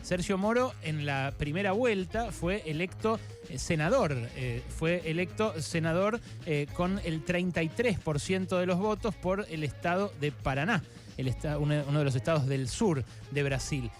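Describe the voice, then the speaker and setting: A man hosting a radio interview, speaking haltingly with many filler sounds and restarts. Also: a little high, faint music behind. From Argentina. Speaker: radio host.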